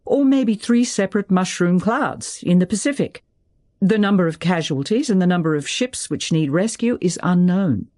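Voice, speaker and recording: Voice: medium pitch, expressive, moderate pace, darkish tone, pitched above the performer's own voice, natural voice. Narrator acting out brusque, urgent woman official. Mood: probing. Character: brusque, decisive woman official taking charge in crisis.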